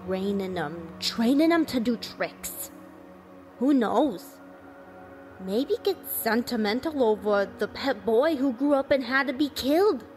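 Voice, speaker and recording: A cynical, defiant survivor of an alien invasion, acted by a woman in a radio play. The voice is fairly high and slow, with blunt, folksy phrasing. Faint music plays underneath. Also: expressive, darkish tone. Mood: defiant, scheming. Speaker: actor in an amateur radio drama.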